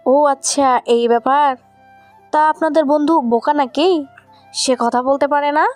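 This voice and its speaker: A woman's voice: high voice, a little slow, darkish tone, soft background music. A woman playing a feisty, stern schoolgirl in an audio love story. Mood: calmer. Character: feisty schoolgirl, stern and scolding, quick to soften.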